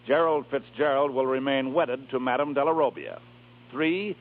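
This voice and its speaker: An actor playing a brash, bossy press agent in a 1930s radio drama, on a tinny recording. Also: male voice, mid-range voice, lightly acted.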